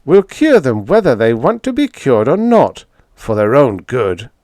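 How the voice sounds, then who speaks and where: A man plays a young, fanatical leader in a science fiction story. He is dynamic and sure of his divine cause, preaching in a raised, emphatic voice that swings widely in pitch. The sound is close and dry. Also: huge pitch swings, unhurried, darkish tone, dry recording. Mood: fervent, insistent. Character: young fanatical demagogue, dynamic, impassioned, dangerously egotistical man.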